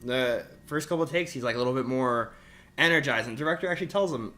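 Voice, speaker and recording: A man's voice: mid-range voice, fast, sharp tone. A man co-hosting a podcast in a casual, conversational style. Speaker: podcast co-host. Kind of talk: casual chat.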